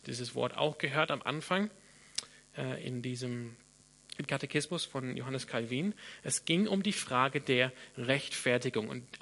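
A man from Australia preaches in German, his first language English still clear in the accent. His voice has a bright, gravelly edge. He thinks aloud as he goes, doubling back on words and restarting sentences. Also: medium pitch. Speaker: pastor. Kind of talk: sermon.

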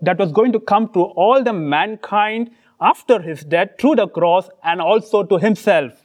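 A man with an Indian accent delivers an earnest sermon in English, his voice sitting unusually high for a man. He speaks in short phrases and pauses after each one so an interpreter can follow. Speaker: preacher.

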